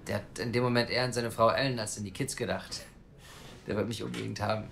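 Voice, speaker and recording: A man's voice: on the low side, steady tone, fast, gravelly, fairly bright tone, a little hiss or hum. Cocky, provocative young man. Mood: breezy, confident. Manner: cocky, defiant, coarse-mouthed. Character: brash, provocative young man pleading to adopt a child. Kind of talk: naturalistic German audio drama acting.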